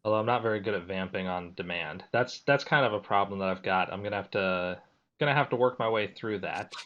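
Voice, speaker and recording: A man's voice: deep voice, brisk, a bit bright, dull audio. Joking man hosting a webinar. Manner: self-deprecating, joking. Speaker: webinar host and moderator. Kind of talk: casual moderating and filler talk.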